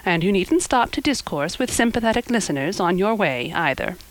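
A woman's voice: mid-range voice, sing-song, a little fast, lightly acted. Woman narrator as a stern older storybook woman, brusque, practical, scolding, matter-of-fact. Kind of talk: audiobook character acting.

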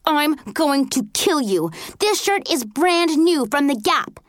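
A woman voicing a bossy, furious teenage older sister with a shrill, snarling delivery, in a storybook reading. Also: expressive, slow pace, no room sound, studio quality. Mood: screaming fury.

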